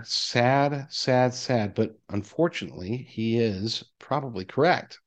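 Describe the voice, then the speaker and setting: A man hosting a commentary show, reading articles aloud and adding his own emphatic, deliberately repeated asides. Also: mid-range voice. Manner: emphatic, deliberate repetition of key phrases.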